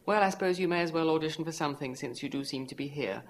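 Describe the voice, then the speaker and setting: Performer putting on a prissy, high-voiced male thespian. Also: expressive, fast talker, slight background noise, close to an everyday voice. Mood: grudging, prissy. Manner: prissy, grudging.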